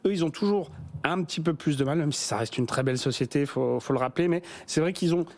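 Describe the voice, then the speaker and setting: A French business journalist explaining stock valuations in a TV studio, pausing often with fillers and restarts. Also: male voice, a little high, a little mellow. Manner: hesitant, many fillers and restarts. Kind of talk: studio business news discussion.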